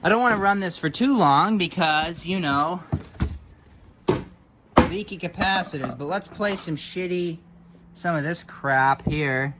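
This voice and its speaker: Casting: male hobbyist repairer, lightly raised pitch, casual muttered demo style, faint background noise. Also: measured pace. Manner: offhand, muttering to himself, mild swearing. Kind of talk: casual hands-on demonstration commentary.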